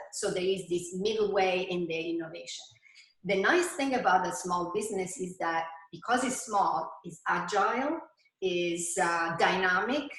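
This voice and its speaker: An Italian-accented middle-aged woman explains things earnestly, pausing and restarting her phrases as she goes. She speaks at a middle pitch. Her voice rings in a roomy, echoey space over a video call. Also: a bit bright. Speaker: engineering executive answering student questions. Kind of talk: seminar Q&A answer.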